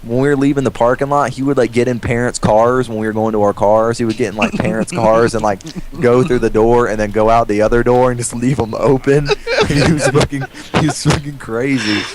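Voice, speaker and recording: A young American man telling a profane, rambling story casually to friends, animated when he acts out quotes. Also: mid-range voice, a little fast. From United States. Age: young adult. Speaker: storyteller among friends playing a game. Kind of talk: casual profane storytelling over game voice chat. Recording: noisy game voice chat recording.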